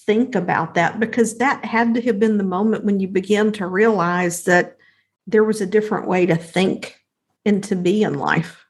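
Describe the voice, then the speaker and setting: A woman hosts an interview podcast, introducing her guest and then handing over with open questions. She talks in a relaxed conversational way at a middle pitch. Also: normal speed. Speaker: podcast host. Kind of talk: interview hosting.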